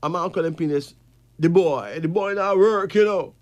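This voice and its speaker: Caribbean male, host of a casual talk, higher-than-average pitch, insistent reasoning with repeated phrases. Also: moderate pace. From Barbados. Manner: emphatic, repeats phrases for effect.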